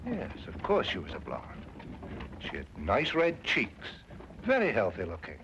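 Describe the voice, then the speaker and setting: An actor playing a flustered, gruff middle-aged police inspector in an old detective drama, halting and blustering. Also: male voice, on the low side, huge pitch swings, noisy background, exaggerated voice. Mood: defensive. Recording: dull old drama soundtrack, music behind.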